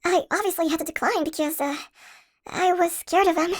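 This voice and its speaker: An anxious, tiny college girl, played by a woman for an audio roleplay. Her voice sits extremely high and young. She talks in a chatty, expressive way, hesitating as she goes. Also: brisk. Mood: uneasy recounting. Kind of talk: first-person audio roleplay, conversational acting.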